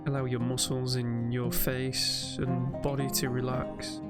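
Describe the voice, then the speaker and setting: Male sleep narrator, unhurried and gentle, level delivery, with loud guitar music underneath. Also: mid-range voice. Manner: calm, gentle, measured. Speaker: meditation narrator. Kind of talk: soothing guided sleep meditation commentary over gameplay.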